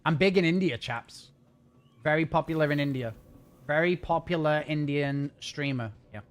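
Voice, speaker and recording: A man chatting casually and rambling while live-streaming a game from home. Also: a little high. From north of England. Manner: chatty, rambling, fast-talking. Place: home gaming setup.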